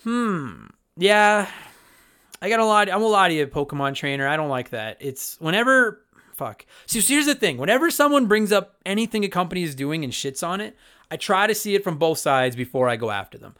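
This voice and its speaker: A man's voice: high-pitched, sing-song, brisk, bright, forceful tone, clean tone. A man in his thirties hosting a casual chat show, answering listener letters in a candid, profane, rambling way with lots of restarts.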